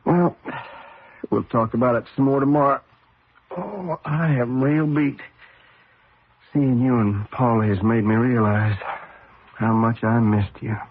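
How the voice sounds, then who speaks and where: A man's voice: medium pitch, measured pace, long pauses, husky, phone-quality sound. An actor playing a weary, affectionate husband and father, casual and fond, in an old radio drama. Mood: tired, fond. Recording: band-limited noisy old radio recording.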